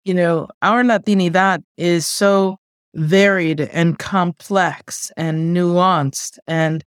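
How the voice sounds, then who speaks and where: A woman whose first language is Spanish, a guest on an interview podcast, answering in a measured, thoughtful way. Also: lowish voice, steady tone, smooth, no echo, clean studio sound. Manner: measured, thoughtful, speaks in long considered phrases. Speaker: guest, diversity executive.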